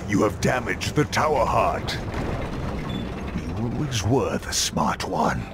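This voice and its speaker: Slow, low-voiced villainous old sorcerer. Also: male voice, big pitch swings, background noise, exaggerated voice. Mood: cold, threatening. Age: older. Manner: slow, theatrical, menacing. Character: villainous old sorcerer tyrant, gloating, domineering, possessing a wizard's body. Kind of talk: video game cutscene acting.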